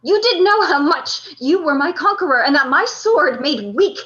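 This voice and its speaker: Woman acting proud reckless general, high bright voice, impassioned Shakespearean declamation, radio play, dull lo-fi recording. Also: animated, normal speed. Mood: anguished, ashamed. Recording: dull lo-fi audio.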